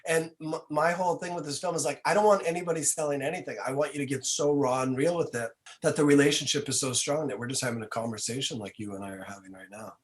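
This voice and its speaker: A man's voice: mid-range voice, fairly even intonation, fast, hardly any pauses. A man speaking casually and earnestly as a filmmaker being interviewed on a podcast, reflective in his way of talking.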